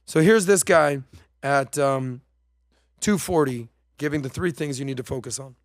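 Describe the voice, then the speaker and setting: A man's voice: medium pitch, normal speed, no room sound, studio quality. A man hosting a podcast, talking in a casual, emphatic and slangy way while commenting on played clips. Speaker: podcast host.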